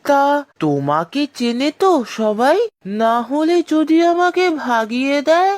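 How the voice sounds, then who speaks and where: A woman's voice: sits high, animated, measured pace, darkish tone. A woman voicing a greedy, cheeky young boy with a whining drawl in a children's cartoon. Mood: cheeky, impatient.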